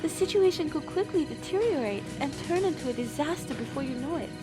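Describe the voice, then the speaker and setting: Woman acting a high-voiced, earnest young female analyst. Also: animated, quick pace, over loud music. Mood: alert, earnest. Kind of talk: audio drama acting.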